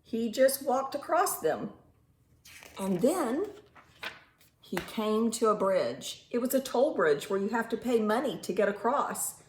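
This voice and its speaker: Expressive woman storyteller in a roomy space. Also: fairly high pitch. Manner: animated, simple teacherly phrasing with rhetorical questions and drawn-out emphasis. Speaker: children's storytime reader and teacher. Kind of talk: expressive picture-book read-aloud with questions to young children. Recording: roomy echo with faint background noise.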